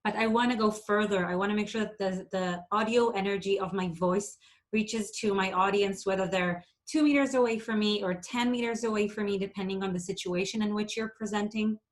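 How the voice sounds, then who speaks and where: A woman from Israel whose first language is Hebrew, coaching public speaking in a clear, deliberate way and sometimes exaggerating words to demonstrate. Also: mid-range voice. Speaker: presentation skills coach. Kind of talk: instructional talk.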